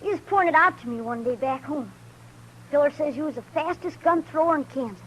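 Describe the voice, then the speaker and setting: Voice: high-pitched, fairly quick, fairly bright tone. A performer playing an eager, cocky young runaway boy with boastful, folksy country talk in an old-time radio western. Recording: noisy old radio drama recording.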